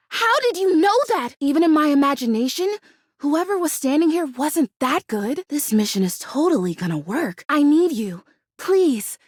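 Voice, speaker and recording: A woman's voice: high-pitched, no room sound, studio quality. A woman voicing a bubbly, scatterbrained young plastic houseplant in a video game, chatty and gushing. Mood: eager, scatterbrained. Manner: gushing, chatty, easily distracted, exclamatory. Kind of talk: animated video game character acting.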